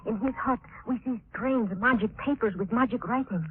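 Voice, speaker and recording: An actress playing an earnest young island princess in simple broken English, in 1940s radio drama style. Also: female voice, fairly high pitch, moderate pace, slightly rough. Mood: grave, earnest. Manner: simple broken English in short plain phrases. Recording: noisy, tinny 1940s radio recording.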